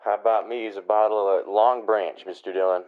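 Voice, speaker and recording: An actor playing a humble, folksy deputy sidekick in a radio western, loyal and deferential. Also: male voice, lowish voice, fairly even intonation, fairly quick, phone-quality sound, noisy background, natural voice. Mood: uneasy, quiet. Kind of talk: old-time radio western drama acting.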